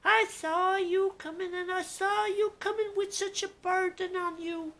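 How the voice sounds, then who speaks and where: A man voicing an old wise woman healer who lives in the woods, speaking slowly in a high, quavering voice. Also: steady tone, bright, forceful tone, heavily acted. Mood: knowing.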